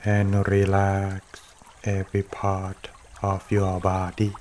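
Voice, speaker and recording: A man leading a guided meditation as a teacher, speaking gently and unhurriedly in short phrases with long pauses, over running water. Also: deep voice, monotone, a little hiss or hum. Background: trickling stream water.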